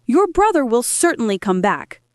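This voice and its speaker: A woman reading a storybook aloud, voicing a worried, simple-hearted father with a plaintive, gently puzzled tone. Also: a little high, big pitch swings, normal speed, dry recording, studio quality. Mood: uneasy.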